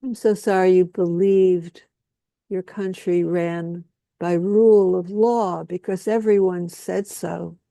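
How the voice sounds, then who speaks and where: A woman with a low, gravelly voice speaks a slow, solemn lament. She leans on repeated phrases and leaves long pauses between lines. The sound is mellow and completely dry. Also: fairly even intonation, dry recording. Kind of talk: slow spoken-word lament.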